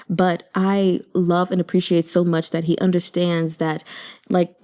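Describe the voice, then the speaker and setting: A woman hosting a book channel, giving casual commentary in a gushing, expressive manner. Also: fairly low pitch. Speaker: host of a book reading channel.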